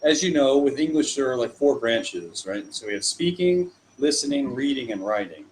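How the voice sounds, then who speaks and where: A man's voice: mid-range voice, animated, normal speed, slight background noise, rough recording. A young man from the USA, an English tutor, chatting in a casual, encouraging way on a video call, with plenty of fillers. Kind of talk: casual online lesson chat.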